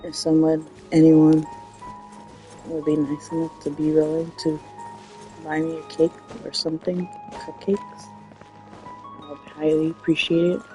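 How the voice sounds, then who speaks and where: A man's voice: on the high side, monotone, measured pace, long pauses, warm tone, faint music behind, poor audio. A man chatting casually as a game streamer, rambling and hesitant. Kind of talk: casual livestream chat.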